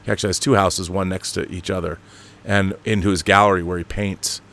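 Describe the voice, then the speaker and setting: Low-voiced, emphatic American man chatting. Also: level tone, a little fast, creaky, a bit bright. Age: middle-aged. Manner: emphatic, profane, digressive. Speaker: host of a film and book talk livestream. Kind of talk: casual opinionated chat, answering viewer questions.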